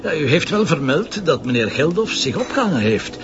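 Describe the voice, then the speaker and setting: Slightly muffled radio drama with music underneath. a sardonic Flemish police commissioner who probes and needles. His delivery swings widely in pitch while staying natural and conversational. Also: sing-song, fairly quick. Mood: sardonic, insistent. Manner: probing, dryly sarcastic. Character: shrewd, sardonic male police commissioner questioning a witness. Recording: slightly dull lo-fi audio, music behind.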